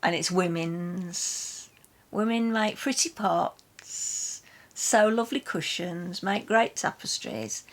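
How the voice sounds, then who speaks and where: A woman's voice: lowish voice, animated, takes it slowly. A British woman chatting casually about literature as a host, with a wry, opinionated way of talking. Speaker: host of a poetry reading and book chat.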